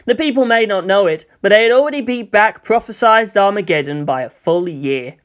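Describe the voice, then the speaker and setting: Story narrator, medium-pitched and very animated, expressive scripted reading with dramatic emphasis. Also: a little fast. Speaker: audiobook narrator. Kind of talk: scripted narration of fan fiction.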